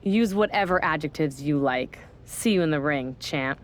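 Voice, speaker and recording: A woman's voice: sits low, moderate pace, slight background noise, natural voice. A woman voicing a smug, scheming female wrestling general manager in a video game cutscene, talking down with sarcasm. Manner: condescending, sarcastic.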